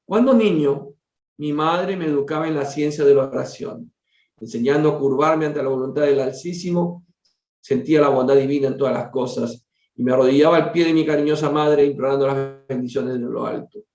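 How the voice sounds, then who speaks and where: Man voicing a weary troubled father, formal storybook delivery, earnest, slightly high pitch, echoey. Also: a little fast, darkish tone, recorded from a distance, lightly acted. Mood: wistful. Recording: dull, roomy lo-fi recording.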